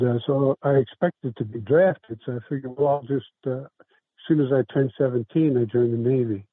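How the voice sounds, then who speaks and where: A man's voice: mid-range voice, no room sound. Older new guy rambling his life story, lots of restarts, tinny dry phone-ish sound. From South Brooklyn, New York. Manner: rambling, halting, many restarts and fillers. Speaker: interview guest. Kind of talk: casual life-story interview.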